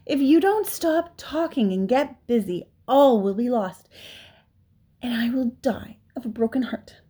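A woman's voice: medium pitch, normal speed, warm tone, slightly rough. A woman voicing a young male pig in a storybook reading, emotional, urgent and pleading. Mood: desperate.

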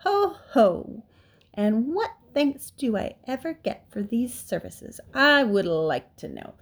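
Woman acting old male storybook rat, sarcastic, grumbling, mocking, creaky. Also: fairly high pitch, big pitch swings, slow pace, full character voice. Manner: growling, mocking, complaining.

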